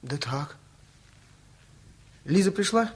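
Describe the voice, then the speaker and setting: A performer playing a restless, uneasy young boy with a husky voice and short, evasive replies in a radio play. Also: mid-range voice, animated, slow. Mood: uneasy, curious.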